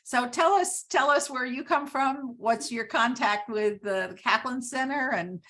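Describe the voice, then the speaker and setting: A woman's voice: high-pitched, a little slow, fairly bright tone. An older woman hosts an informal conversation, welcoming people and making introductions. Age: 60s or older. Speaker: organization president hosting the conversation.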